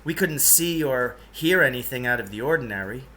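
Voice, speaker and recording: A man's voice: mid-range voice, lively intonation, fairly quick, pushed and bright. A man reading a children's novel aloud in a storytelling style. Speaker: reader, teacher reading aloud.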